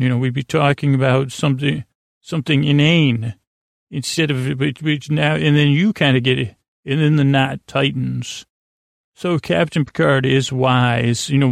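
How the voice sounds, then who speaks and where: Creaky, halting man rambling. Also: mid-range voice, no echo, clean studio sound. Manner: halting, stammering, meandering with repeated false starts. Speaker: podcast host. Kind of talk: rambling bedtime podcast monologue.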